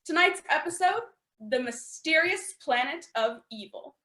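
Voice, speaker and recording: Woman, scripted radio announcer, animated, piercing bright tone. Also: sits high, a little slow, reverberant. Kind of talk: scripted old-time radio serial announcing.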